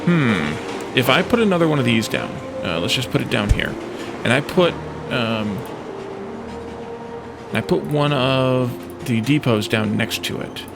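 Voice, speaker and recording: A man's voice: medium pitch, big pitch swings, a little slow, creaky. A man giving casual gameplay commentary, thinking aloud and musing his way through problems as a let's play host. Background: background music.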